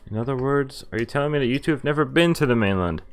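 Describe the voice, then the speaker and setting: A man's voice: medium pitch, expressive, very fast. A man streaming a visual novel let's play, reading the text aloud and adding casual, offhand commentary of his own. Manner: mixes read-aloud narration with offhand reactions and asides. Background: game music.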